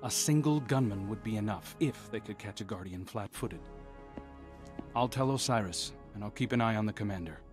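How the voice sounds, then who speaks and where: An actor playing an earnest, defiant and protective undercover agent in a video game, intense and sincere, with music behind. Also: male voice, fairly low pitch, normal speed, lightly acted. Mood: wary, intrigued. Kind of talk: dramatic video game dialogue.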